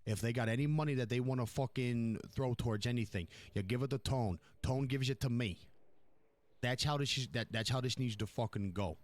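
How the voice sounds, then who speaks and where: A man's voice: medium pitch, a little fast, no echo, natural voice. A man playing a furious, domineering New York mob boss in an online game roleplay, browbeating and repeating himself with heavy profanity. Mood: lecturing, irate.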